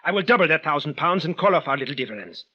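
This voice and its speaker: A man's voice: a little high, very animated, racing, bright, forceful tone, full character voice. An actor playing a big, vengeful man from Damascus in an old radio drama, speaking formal, stilted English. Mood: urgent, embittered. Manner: formal, stilted foreigner's phrasing without contractions. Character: tall, big, menacing, vengeful bandaged foreign man from Damascus. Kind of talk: 1940s-50s radio drama acting. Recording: dull old radio recording.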